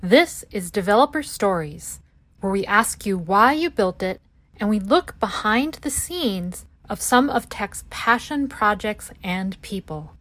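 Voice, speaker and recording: A woman's voice: mid-range voice, expressive, unhurried, fairly bright tone, dry recording. A woman reading a scripted podcast intro in an upbeat, singsong way. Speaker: show intro announcer.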